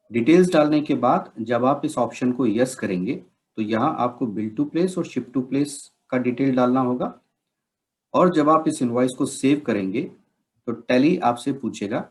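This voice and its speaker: A man narrating an instructional software demonstration, explaining step by step and mixing in English technical terms. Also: medium pitch.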